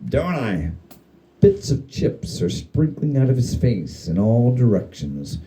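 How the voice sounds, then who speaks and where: A man's voice: medium pitch, very animated, a little slow, warm tone, faint music behind. A man giving a dramatic reading of a humorous spooky story, with wry, theatrical and emphatic phrasing. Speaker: story reader.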